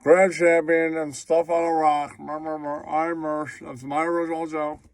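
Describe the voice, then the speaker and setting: A man's voice: on the high side, level tone, moderate pace, no echo, lightly acted. A man playing a smug hack comedian in a puppet comedy, babbling nonsense syllables between his catchphrases.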